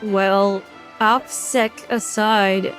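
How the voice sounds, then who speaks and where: Woman playing a slow-talking, grumpy young woman. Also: mid-range voice, steady tone, fairly bright tone, soft background music. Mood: dry. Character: blunt, grumpy young woman recovering from jaw surgery. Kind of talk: animated fan drama dialogue.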